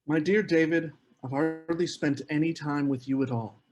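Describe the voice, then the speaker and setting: A man's voice: fairly high pitch, steady tone, moderate pace, darkish tone, lo-fi sound, close to an everyday voice. A man playing a proud, earnest writer in a period literary drama table read, speaking in formal phrases. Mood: affable, teasing. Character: Geoffrey Aspen, proud, earnest male writer.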